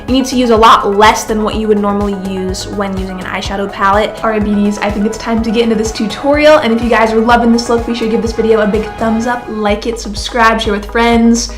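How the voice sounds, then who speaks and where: A woman's voice: on the high side, steady tone, quick pace, few pauses, soft background music. A young woman in her twenties with a congested, nasal voice from a cold, chatting casually through a makeup tutorial. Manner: chatty, filler-heavy, addresses viewers as beauties. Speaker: beauty vlogger.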